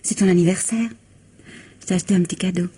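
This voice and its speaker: An actress in a French radio mystery drama playing a meek, lovesick runaway wife, emotional and pleading. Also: female voice, on the low side, fairly even intonation, quick pace, dark tone, husky. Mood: meek, devoted.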